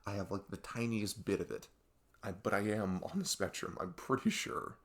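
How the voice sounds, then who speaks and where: A man playing a young best friend who confides in a halting, rambling, exasperated way in an ASMR audio roleplay. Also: on the low side, animated. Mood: frustrated, rambling. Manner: rambling, halting, exasperated sighs and nervous laughs. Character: young male best friend venting about arguing with parents.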